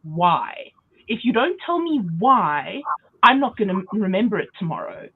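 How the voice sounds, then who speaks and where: A woman's voice: mid-range voice, big pitch swings, normal speed. A woman from South Africa chatting casually and emphatically, with frequent fillers, on a podcast. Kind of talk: casual chat. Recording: tinny phone-like line.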